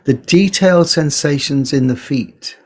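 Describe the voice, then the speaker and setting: Male meditation teacher, low-key body scan guide; very slow pace, gentle delivery, leaves space between phrases. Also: breathy edge. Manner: gentle, unhurried, pausing between short phrases.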